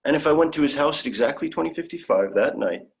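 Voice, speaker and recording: A man's voice: on the high side, lively intonation, fast talker, gravelly. A young man playing an earnest, naive alien traveller in a sci-fi audio drama, polite and full of wonder. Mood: wondering, proud. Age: young adult.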